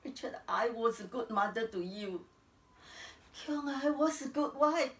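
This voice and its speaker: An old, domineering Singaporean widow tells her life as a theatrical monologue. Her voice sits fairly high and swings widely in pitch as she moves between narration and bitter drama. It rings in an echoey, stage-like space. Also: lively intonation, unhurried. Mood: wounded, defensive. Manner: theatrical, swinging from hushed narration to indignant outbursts. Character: embittered, domineering old Chinese matriarch, widow and grandmother. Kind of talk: dramatic stage monologue, acting out remembered scenes. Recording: roomy, echoing, distant stage-like sound.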